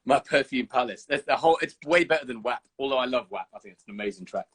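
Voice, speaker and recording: A man hosting a casual live interview, cheeky, excitable and quick to swear. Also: mid-range voice. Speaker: host.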